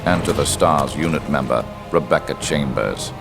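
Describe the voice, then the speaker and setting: A gravelly, cold villain narrator. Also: male voice, a bass voice, music in the background. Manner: slow, grave, measured delivery. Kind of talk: game cutscene narration.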